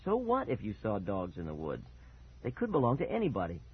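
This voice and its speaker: A man's voice: fairly low pitch, wildly swooping pitch, a little fast, breathy edge, full character voice. A man voicing a stern, weary, skeptical father in a storybook reading, on narrow, tinny audio. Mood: weary, doubting.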